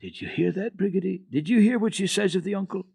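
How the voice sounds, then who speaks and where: A man's voice: sits high, fast talker, gravelly, warm tone, husky, no room sound, voice pushed up, exaggerated voice. Man voicing a mournful old grandmother. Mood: surprised. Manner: plaintive, sighing delivery.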